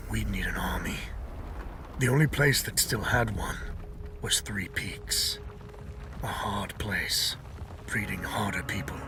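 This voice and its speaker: A man playing a wry, world-weary traveler who narrates a game story in a hushed manner. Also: on the low side.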